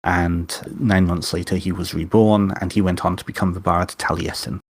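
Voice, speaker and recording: A man with a very low, deep voice and a Welsh-tinged British accent tells an old legend with eager enthusiasm, restarting phrases as he goes. The sound is dry and close, with no room around it. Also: level tone, moderate pace, slightly rough, no echo. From Wales. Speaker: podcast guest, author and witch. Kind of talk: casual storytelling interview.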